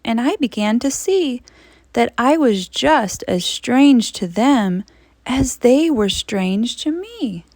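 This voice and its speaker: Animated woman reading a children's story aloud. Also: fairly high pitch, no echo, clean studio sound. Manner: dramatic, drawn-out emphasis with big swoops for suspense. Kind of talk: expressive children's read-aloud.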